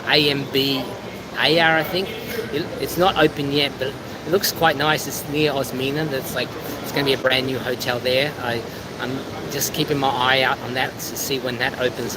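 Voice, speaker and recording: A man with a squeaky voice, chatting casually and at length as a travel vlogger on a live stream, a bit over-caffeinated. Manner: chatty, rambling. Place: outdoors in a busy city. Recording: loud outdoor street noise with traffic.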